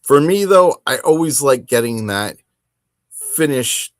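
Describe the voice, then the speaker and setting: A man with a mid-pitched voice talks casually as a solo podcast host. His delivery is conversational and emphatic, with self-corrections along the way. The sound is close and dry. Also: animated, slow, no echo. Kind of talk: casual solo hobby talk.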